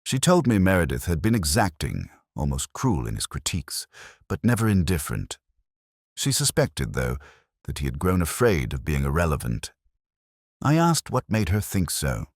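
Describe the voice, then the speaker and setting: A man narrating a scripted first-person detective story in a measured, reflective, literary manner. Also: rumbling low, wildly swooping pitch, no echo, clean studio sound.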